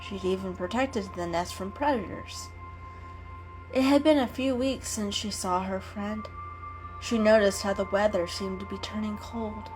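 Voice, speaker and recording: A woman's voice: mid-range voice, measured pace, darkish tone, rough recording. A woman giving a scripted, dramatic narration of an animal story as a storyteller. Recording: music behind the reading.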